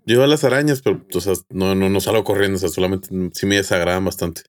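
Male podcast sidekick, Mexican, lowish voice, rapid informal interjections. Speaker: podcast co-host. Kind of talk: casual chat, interjecting.

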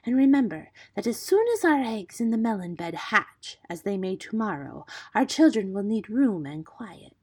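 Woman playing a hushed, scheming cobra queen. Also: mid-range voice, big pitch swings, normal speed, few pauses, slightly rough, voice pushed up. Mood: commanding. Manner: hushed, conspiratorial, commanding.

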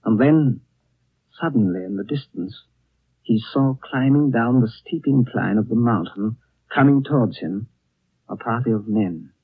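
An actor playing a grave, reflective storyteller, narrating a 1930s radio drama in deliberate, evocative phrases. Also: male voice, medium pitch, slightly rough, no room sound. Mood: solemn, reflective. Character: grave, reflective storyteller recalling a friend's adventure. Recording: thin, tinny 1930s radio recording.

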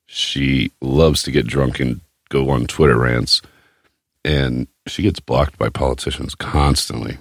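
A man's voice: extremely low pitch, steady tone, measured pace, vocal fry, slightly rough, dry recording, studio quality. A man hosting a casual podcast chat, talking in a brash, crude, joking way. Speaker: podcast host.

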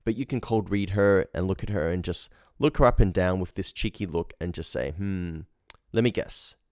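A man's voice: low-pitched, normal speed, darkish tone, no echo, studio quality. A man hosting as a confidence coach, giving informal instruction in a blunt, slangy way. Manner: blunt, slangy, acts out example lines. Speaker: dating confidence coach, show host.

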